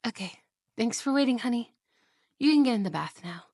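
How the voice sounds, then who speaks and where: A woman voicing a possessive, jealous young wife in an ASMR roleplay, talking softly and slowly in whispers, sweet on the surface and menacing underneath. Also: fairly high pitch, lively intonation, no echo, clean studio sound. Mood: sweetly possessive. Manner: soft, slow, whispery, with giggles.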